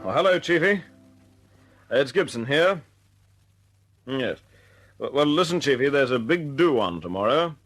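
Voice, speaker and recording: A man acting in a radio drama as a young British bomber squadron leader, genial and wry, with a husky voice and a halting, conversational British delivery. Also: medium pitch, animated, a bit bright, faint music behind. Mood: brisk, wry.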